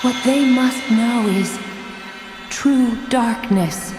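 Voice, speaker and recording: Echoing, very slow solemn goddess. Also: female voice, a little high, music in the background. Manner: stately, solemn. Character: serene, sorrowful goddess. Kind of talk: solemn video game voice acting. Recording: long echoing reverb.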